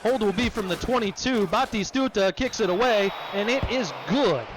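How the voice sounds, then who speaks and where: A man calling play-by-play for a simulated football game, brisk and excitable, joking about his own voice. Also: high-pitched, noisy background. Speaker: play-by-play commentator. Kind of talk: play-by-play sports commentary.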